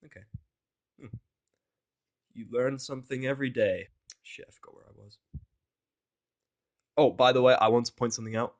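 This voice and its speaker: A man's voice: medium pitch, steady tone, moderate pace, long pauses, dull audio. A man narrating fan fiction in an informal, exasperated way, swearing and slipping into casual asides.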